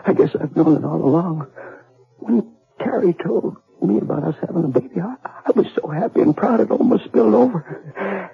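An American actor playing a gravely injured, remorseful cowboy in a 1950s radio western, husky, weak and gasping. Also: male voice, sits high, normal speed, dry recording, heavily acted. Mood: weakly forgiving. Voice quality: husky, creaky, strained. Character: gravely injured cowboy, jealous husband turned remorseful and forgiving. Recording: muffled 1950s radio recording, music.

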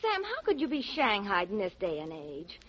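A woman's voice: medium pitch, wildly swooping pitch, moderate pace. An actress playing a fretful, devoted, girlish detective's secretary, perky and naive, in a 1940s radio drama. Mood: fretful, puzzled. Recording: muffled, noisy old radio broadcast recording.

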